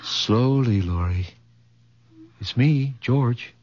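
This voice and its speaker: An actor playing a blunt, protective young husband in a radio drama. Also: male voice, medium pitch, very slow, a little mellow, lo-fi sound. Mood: concerned. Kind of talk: vintage radio drama acting.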